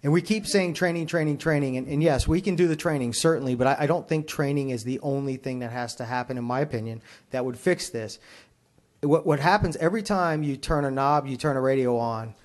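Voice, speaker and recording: A man with a mid-range voice speaks as a fire chief at a public meeting. He explains his points formally and in measured phrases, answering questions put to him. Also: mid-range voice.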